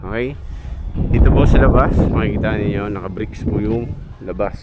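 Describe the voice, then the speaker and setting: A man's voice: on the low side, normal speed, very noisy. A man in his thirties, a contractor, chattily walking viewers through a renovated house, filming himself with a handheld camera. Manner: chatty, points things out with repeated fillers. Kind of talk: casual walkthrough vlog. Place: outdoors on a street and inside an empty house. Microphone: handheld action camera.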